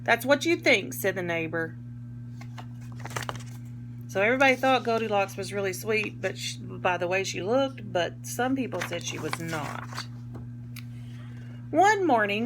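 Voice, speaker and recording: A woman reading a picture book aloud to young children like a teacher, expressively, pausing to explain words in simple terms. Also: medium pitch, animated, background noise.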